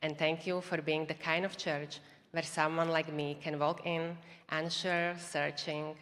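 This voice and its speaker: A woman's voice: low-pitched, little pitch movement, a bit bright. A woman in her thirties from Slovakia with a Slovak accent, earnestly giving a prepared testimony to a church congregation. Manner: earnest, measured, reading a prepared script. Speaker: church member sharing testimony. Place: church auditorium. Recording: long reverb of a large hall.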